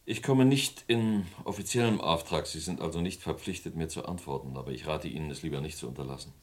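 An actor playing a stern police inspector in a German radio crime drama, formal and measured, probing with suspicion. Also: male voice, very deep voice. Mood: politely formal.

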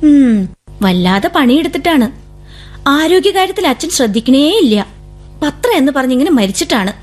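An actress playing a young woman who writes poems and has a law degree, soft-spoken and reluctant. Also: high-pitched, very animated, moderate pace. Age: young adult. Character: dreamy young woman, law graduate, poet. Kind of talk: radio drama acting. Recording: vintage radio broadcast with music.

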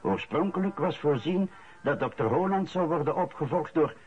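A man's voice: on the high side, expressive, normal speed, lightly acted. An actor playing a man reading the newspaper aloud in a radio drama. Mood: measured, matter-of-fact. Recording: dull old radio recording.

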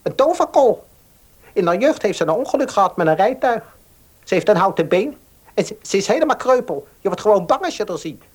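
A man's voice: sits high, big pitch swings, fairly quick, husky, exaggerated voice. A man playing a pious, calculating advice-giver in a Dutch radio play, sanctimonious and persuasive. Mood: gossiping.

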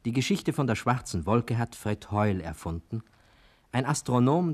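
A man presenting a scripted radio recap in a formal 1950s style, with deliberate, emphatic phrasing. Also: fairly low pitch, a little mellow.

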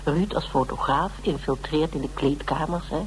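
Actress doing a dutch lady detective in a radio play, wry n relaxed, teasing, bit of hiss on it. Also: low voice, normal speed, lightly acted, poor audio. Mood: musing, amused. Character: self-employed woman detective, warm, wry, practical. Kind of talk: naturalistic Dutch radio drama dialogue.

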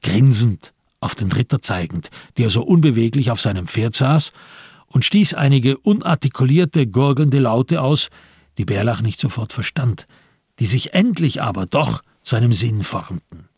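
A man narrating a literary radio drama in a measured way with dramatic emphasis. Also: mid-range voice, animated, a little mellow, breathy edge, no room sound, studio quality.

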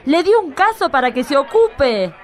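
High-voiced no-nonsense female boss, a woman acting her, scolds firmly. radio play. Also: sing-song, normal speed. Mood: stern. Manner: firm, scolding. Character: stern exasperated female police chief. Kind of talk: amateur radio drama acting.

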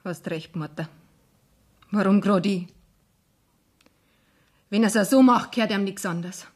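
Eine Schauspielerin spielt im Hörspiel eine trotzige, mürrische junge Tochter mit bairischem Dialekt, knapp im Ton. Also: female voice, mid-range voice, measured pace, a little mellow, lightly acted. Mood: subdued, resentful. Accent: Bavarian. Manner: curt, defiant. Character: defiant, sullen young Bavarian daughter. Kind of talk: radio play acting in dialect. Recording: distant from microphone, noisy.

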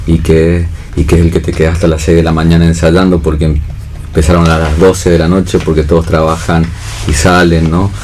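A man's voice: low-pitched, flat delivery, a little fast, darkish tone. An Argentine man speaking as a theatre director in a casual radio discussion, reflective and often checking in with short tag questions. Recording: noisy radio studio recording.

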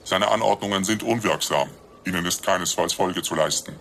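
An actor playing a brisk company announcer in a radio drama, reading an urgent bulletin in a formal, emphatic way. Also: male voice, low voice, sharp tone, a little hiss or hum. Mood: urgent, official.

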